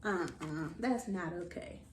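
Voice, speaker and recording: An American woman hosting a children's storytime, reading aloud in a playful, expressive, theatrical way and talking straight to young listeners. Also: lowish voice, slow pace. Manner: exaggerated, theatrical delivery of silly sound words. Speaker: children's read-aloud host. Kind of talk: animated storytime reading for kids. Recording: roomy echo, light background noise.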